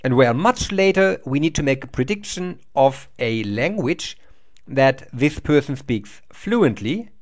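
A man's voice: mid-range voice, big pitch swings, measured pace. A middle-aged man giving a recorded university lecture over slides, explaining step by step and often restarting words.

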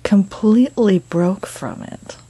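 A woman's voice: medium pitch, slow pace, warm tone, slightly rough. A woman chatting casually in a goofy, playful, rambling way as she presents her own amateur comic dub. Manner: goofy, playful, self-interrupting. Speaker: amateur comic dubber and artist. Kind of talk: casual rambling chat over drawings.

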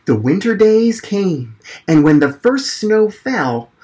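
A man, telling a picture-book story to children in a gentle, expressive manner. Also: high-pitched, slow, breathy edge. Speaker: library storytime performer. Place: small indoor room. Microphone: camera mic at arm's length or further.

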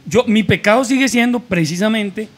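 Costa Rican man in his 40s, high voice, animated, slangy podcast storyteller at a table mic. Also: moderate pace. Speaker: guest. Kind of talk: casual podcast chat. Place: small room with a mic on a table. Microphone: studio mic with headphones.

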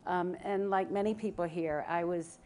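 A woman's voice: on the low side, fairly even intonation, normal speed, a little mellow. A woman speaking on a panel to a live audience under a large tent, chatty and self-deprecating, with many ums.

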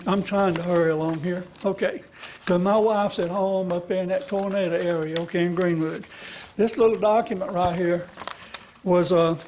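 A man's voice: sits high, a little mellow, slight background noise, rough recording. An older man gives rambling, folksy public testimony at a podium, often checking in with his listeners. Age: older, 70s or above. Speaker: citizen activist testifying to a legislative committee. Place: large indoor hearing room with seated audience. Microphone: gooseneck podium microphone.

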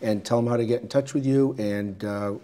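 A middle-aged man hosting a local cable interview show in a small TV studio on a lapel mic, brisk and businesslike. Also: medium pitch, steady tone, mellow, slight background noise. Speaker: TV show host.

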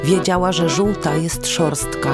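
A woman's voice: low voice, measured pace. A woman narrating a fairy tale expressively, with lyrical, rhythmic phrasing. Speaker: storyteller. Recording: music behind the voice. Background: instrumental music.

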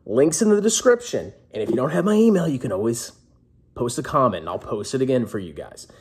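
Man, thirties, husky voice, very animated pitch, brash and jokey, casual vlog host. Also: brisk. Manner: brash, jokey, emphatic showman. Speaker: personal finance vlogger, host. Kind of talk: casual announcement to camera. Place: small indoor room at home.

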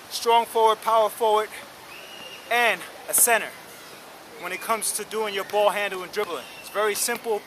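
A man in his thirties on an outdoor basketball court, talking straight to the camera in an energetic, slangy, encouraging way while tired from drills. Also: high-pitched, slight background noise. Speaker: basketball vlogger. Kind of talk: casual coaching talk to camera. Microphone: camera mic close up.

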